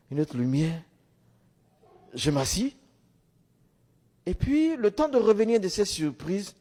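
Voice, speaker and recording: A man's voice: mid-range voice, darkish tone. A middle-aged man, not a native French speaker, giving a religious testimony as a story, emphatically and in short repeated phrases. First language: a language other than French, Arabic or English. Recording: long hall reverb.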